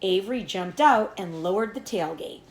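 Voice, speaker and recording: A woman reading a children's storybook aloud as a teacher would, in expressive narration. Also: medium pitch, moderate pace, echoey room, slight background noise.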